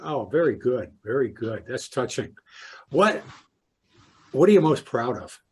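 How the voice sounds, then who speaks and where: A man's voice: mid-range voice, sing-song, unhurried, a little hiss or hum. A man interviewing a club member, encouraging and affirming her answers. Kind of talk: conversational interview.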